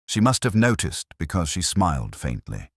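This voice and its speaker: A man reading scripted first-person mystery narration in a measured, literary, melancholy manner. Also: low voice, huge pitch swings, breathy edge, no room sound, clean studio sound.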